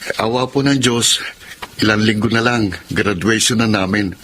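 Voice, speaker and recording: A man's voice: lowish voice, fairly even intonation, normal speed, fairly bright tone, slight background noise, close to an everyday voice. A man playing a respectful young student grandson with a Filipino accent, talking earnestly in radio drama style. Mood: polite, earnest. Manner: polite, earnest.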